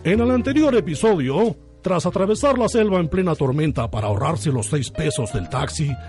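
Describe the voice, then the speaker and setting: A man's voice: a little high, animated, moderate pace, music in the background. A man with an accent from Spain, narrating comic radio in a theatrical, mock-grandiose manner. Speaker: radio segment host and narrator.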